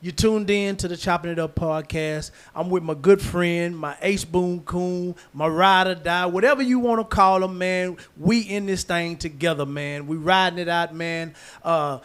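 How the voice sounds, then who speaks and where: A man hosting a casual podcast chat, talking in animated, informal, slangy banter. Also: sits high, moderate pace, few pauses, clear, resonant tone, soft background music. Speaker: podcast host.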